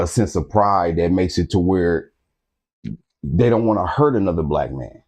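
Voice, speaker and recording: Low husky man lecturing in street talk. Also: a little mellow. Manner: street-talking preacher, repeats phrases, keeps asking "you understand what I'm saying". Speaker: host of a history talk channel. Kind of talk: casual lecture to camera.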